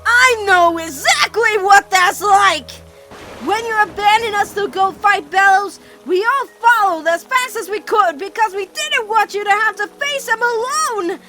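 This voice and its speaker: A woman's voice: very high voice, lively intonation, unhurried, sharp tone, soft background music, exaggerated voice. A woman voicing a caring, protective teenage girl in a cartoon fan dub, earnest and emphatic as she pleads with her girlfriend. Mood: hurt, tearful anger. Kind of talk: animated-series fan dub drama acting.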